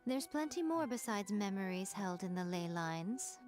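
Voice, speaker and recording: An actress voicing a sly, playful female fox spirit priestess in a game, speaking in a teasing, lively way, with faint music behind. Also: medium pitch, normal speed, close to an everyday voice. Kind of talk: game voice acting.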